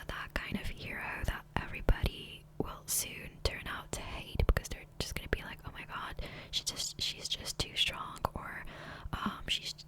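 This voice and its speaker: A woman whispering softly and breathily in a casual, rambling ASMR gameplay chat, close to the microphone. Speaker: ASMR video host. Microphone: close USB condenser microphone.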